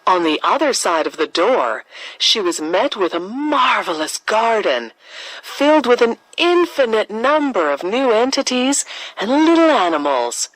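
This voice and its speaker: A woman narrating a scripted children's fairy tale as a storyteller, with expressive, dramatic emphasis. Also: mid-range voice, unhurried, no room sound, rough recording.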